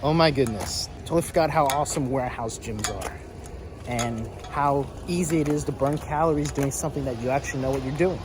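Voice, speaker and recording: A man's voice: mid-range voice, fairly quick, background noise. A man from Central New Jersey with a New Jersey accent, chatting casually and upbeat to his viewers in a vlog. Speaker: vlogger.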